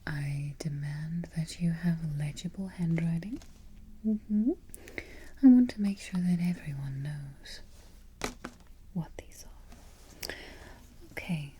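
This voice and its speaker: A haughty evil queen, acted by a woman murmurs in a soft whisper, low and mellow. She is prim and exacting, giving orders slowly in an ASMR roleplay, close on the mic with faint noise behind her. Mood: quietly imperious. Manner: unhurried, exacting, politely imperious. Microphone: close ASMR microphone.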